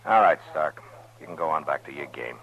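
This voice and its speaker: A stern American lawman of the Old West, very deep in voice. He speaks in blunt, laconic orders with steady authority. The sound is a dulled vintage radio western recording. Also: a little fast, creaky, highs cut off, very noisy. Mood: commanding, urgent. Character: stern, steady frontier US marshal of Dodge City. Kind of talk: 1950s radio western acting.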